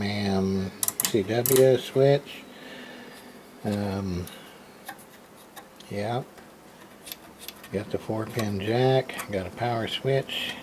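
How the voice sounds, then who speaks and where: A man with a lowish voice talks over clear background noise in an amateur recording. He speaks casually and very slowly, halting often as he shows equipment in a matter-of-fact, half-muttered way. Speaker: hobbyist radio repairer, video host. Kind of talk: casual unscripted show-and-tell of equipment.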